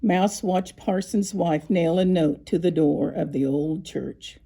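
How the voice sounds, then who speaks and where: A woman narrating a scripted children's story, with expressive, deliberate phrasing and dramatic pauses. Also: lowish voice, creaky, a little hiss or hum. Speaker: storybook reader.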